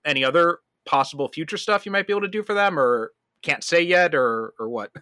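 A man's voice: fairly high pitch, fairly quick, sharp tone, dry recording, clean studio sound. A man hosting a podcast, asking his guest questions in a casual, conversational way. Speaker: podcast host. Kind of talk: casual interview chat.

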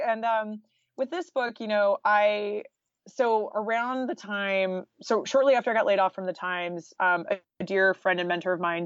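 A woman speaks as a guest in a casual interview, in a chatty, informal way full of small conversational asides. Her voice sits at a middling pitch. The recording is very dry and a little dull. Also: no echo. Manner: chatty, informal, peppered with "like" and "you know". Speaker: guest author and journalist.

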